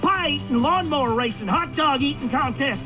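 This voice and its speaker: An actor playing an excitable, folksy small-town announcer reading a radio advert in a video game. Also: male voice, very high voice, moderate pace, music in the background. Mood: hyped, excited.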